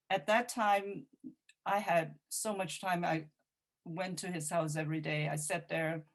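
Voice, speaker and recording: Slow-spoken woman poet, low voice. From China. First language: Cantonese. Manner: reflective storytelling with frequent restarts. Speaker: featured poet and translator. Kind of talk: audience Q&A answer.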